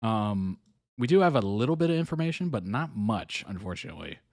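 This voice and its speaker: A man hosting a live reaction stream, chatting casually, reading chat messages aloud, with frequent ums and soft chuckles. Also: fairly low pitch, sing-song, a little fast, dry recording, clean studio sound. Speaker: host reacting to videos live.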